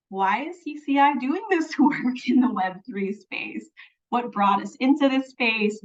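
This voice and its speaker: A woman opens a webinar with an earnest, reflective welcome, dropping in small laughs as she speaks. Her voice comes from a little way off the mic, and the audio is dull and lo-fi. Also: a little high. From Philippines. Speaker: webinar host. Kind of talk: scripted welcome and land acknowledgement. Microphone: video call. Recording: distant, dull lo-fi call audio.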